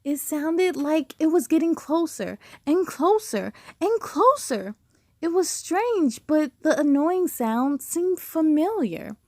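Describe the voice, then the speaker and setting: A woman reading a children's book aloud with expressive storytelling and dramatic emphasis. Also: sits high, slow, no room sound, clean studio sound. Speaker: storybook reader.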